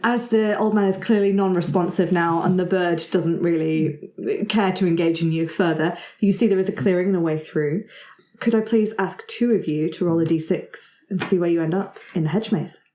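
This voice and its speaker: A woman narrating a tabletop roleplaying game as game master, improvising her descriptions in a halting way. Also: lowish voice, warm tone. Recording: echoey room sound.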